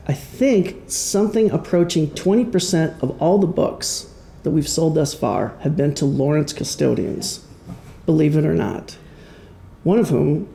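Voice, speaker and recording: Guy giving a talk from notes, creaky low-key voice, halting n self-deprecating, noisy room behind him. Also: dark tone. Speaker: author giving a presentation. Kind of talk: informal public talk from notes. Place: room at a public presentation. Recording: clear background noise, room ambience.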